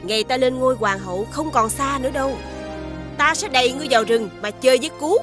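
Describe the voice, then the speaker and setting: A woman's voice: sits high, quick pace, close to an everyday voice. A woman voicing a scheming witch who poses as the dead queen's sister, haughty with servants and sweet to the king, over a music bed. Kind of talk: dubbed cartoon acting. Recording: cartoon soundtrack with music behind.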